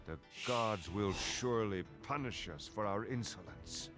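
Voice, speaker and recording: A man playing an earnest Aztec war leader, delivering deliberate, declamatory lines for a strategy game. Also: lowish voice, natural voice. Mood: grave, wondering. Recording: background music under the voice.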